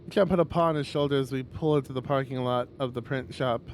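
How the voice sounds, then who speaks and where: A man reading a visual novel aloud on a stream, casual and expressive, with rambling conversational asides. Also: medium pitch, fast, slight background noise.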